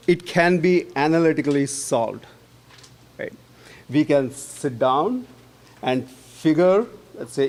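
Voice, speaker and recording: A man giving a persuasive candidate speech at a homeowners' meeting, earnestly making his case and often checking in with a quick "right?". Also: on the high side. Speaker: board candidate.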